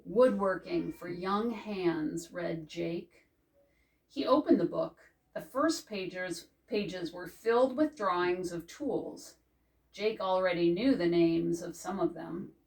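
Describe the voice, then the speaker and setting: An older woman reading a children's picture book aloud as a librarian, in a measured, careful storytelling manner. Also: on the low side, darkish tone. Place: indoors before a green backdrop. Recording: distant microphone.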